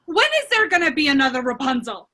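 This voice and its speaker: A woman's voice: high-pitched, animated, fast, pushed and bright. A woman playing a fed-up, defiant fairy-tale princess in a radio comedy, exasperated and emphatic. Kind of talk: irreverent radio play acting.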